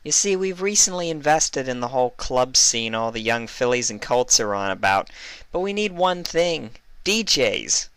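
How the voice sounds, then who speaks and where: A smooth-talking female pony talent scout, acted out by a man, pitched well up into a bright, forward voice. The patter is brisk, chummy and salesy, like a storybook dealmaker closing a contract. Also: big pitch swings, dry recording. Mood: chummy, pitching. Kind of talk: fan fiction character reading.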